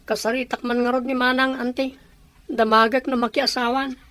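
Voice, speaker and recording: A woman's voice: high voice, little pitch movement, moderate pace, a bit bright. A woman acting a worried, respectful younger sibling in a radio drama, with an Ilocano accent. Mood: anxious, questioning.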